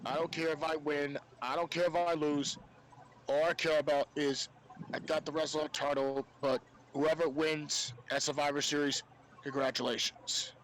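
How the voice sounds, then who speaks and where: A man's voice: on the high side, flat delivery, moderate pace, a bit bright, poor audio. A man chatting casually as a podcast panelist, with faint noise on his mic.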